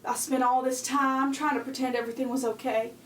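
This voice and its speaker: A woman's voice: high-pitched, level tone, fairly quick, close to an everyday voice. A woman acting an ailing, loving but firm middle-aged mother in a 1940s holiday radio play, tender yet stern. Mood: stern, heartfelt. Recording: roomy, distant, faint background noise.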